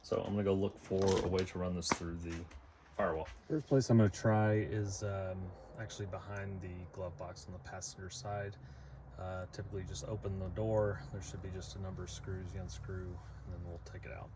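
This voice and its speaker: A man's voice: low-pitched, little pitch movement, fairly quick, background noise. A man giving a casual, unscripted how-to as a DIY vlogger, thinking aloud as he goes. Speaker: DIY vlogger installing a car radio.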